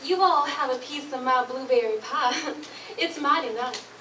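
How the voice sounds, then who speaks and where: A woman's voice: high voice, unhurried, bright, forceful tone. A woman playing a friendly, sociable Southern woman in a staged play, speaking folk dialect. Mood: sweet, bashful. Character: friendly, giggly woman offering her homemade pie. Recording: roomy, distant mic, faint noise.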